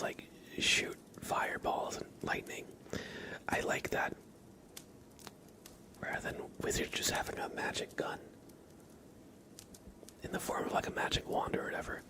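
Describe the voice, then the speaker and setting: A man hosting an ASMR livestream, chatting softly and mostly in a breathy whisper. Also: rough recording. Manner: soft-spoken, mostly whispering. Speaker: livestream host.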